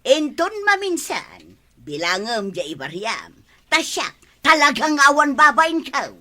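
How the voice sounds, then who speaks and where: A woman's voice: high-pitched, very animated, a little slow, pushed and bright, heavily acted. An actress with an Ilocano accent playing a quarrelsome, easily offended village woman in a radio comedy drama. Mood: grudging.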